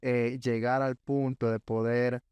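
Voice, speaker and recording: A man's voice: medium pitch, flat delivery, takes it slowly, smooth, dry recording, highs cut off. A man hosting a podcast, agreeing with his guest and reflecting at length on the topic. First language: Spanish. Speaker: podcast host. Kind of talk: casual interview chat.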